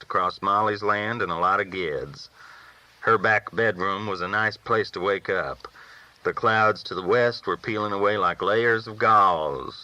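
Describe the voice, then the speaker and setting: A man's voice: lowish voice, pushed and bright, muffled recording. A man reading a first-person novel aloud as a folksy storyteller. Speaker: audiobook reader.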